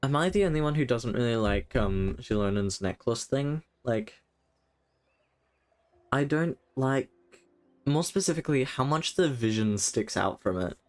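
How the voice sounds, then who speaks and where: A man's voice: medium pitch, lively intonation, a little slow, darkish tone. A man giving casual live gameplay commentary as a streamer, rambling and thinking aloud. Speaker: game streamer.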